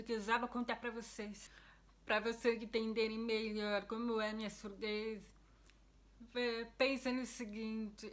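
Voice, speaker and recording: A woman's voice: on the high side, fairly bright tone. A young Brazilian woman with the speech of a deaf person, which others hear as a foreign-sounding accent. She is a vlogger explaining something earnestly and casually to the camera. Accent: Brazilian Portuguese. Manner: earnest, explanatory, with frequent restarts.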